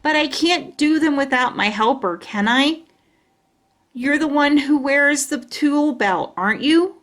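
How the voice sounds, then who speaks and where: A woman's voice: high voice, expressive, unhurried, a bit bright, pitched above the performer's own voice. A woman voicing an affectionate, playfully persuasive grandfather in a storybook, speaking in a coaxing manner. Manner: coaxing, with tag questions.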